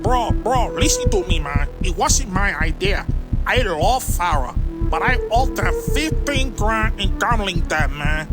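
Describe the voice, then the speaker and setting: A woman's voice: medium pitch, animated, measured pace, over loud music, natural voice. A woman playing a sleazy, cowardly male bartender in an audio drama, talking in defensive slang. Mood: pleading, defensive.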